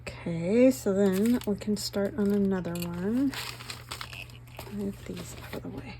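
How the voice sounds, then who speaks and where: Woman, middle-aged, slightly hoarse, rambling chatter, pokes fun at herself, craft vlog, paper rustling, noisy recording. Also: medium pitch, measured pace. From northern Nevada, US. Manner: rambling, self-mocking, talks to viewers while working. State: slightly hoarse, losing her voice. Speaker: craft channel host.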